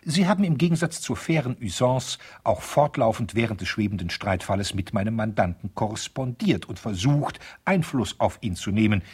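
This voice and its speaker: An actor playing a pompous, pedantic lawyer who dictates stiffly indignant, officious letters in a raspy voice, in a 1970s German radio play. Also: male voice, on the low side. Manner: formal, officious, legalistic, pointedly emphatic.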